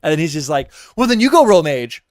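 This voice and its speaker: A man's voice: on the high side, very animated, fast talker, a little mellow, no room sound, clean studio sound. A man giving heated, casual commentary as a reaction streamer, ranting and exasperated, often repeating himself.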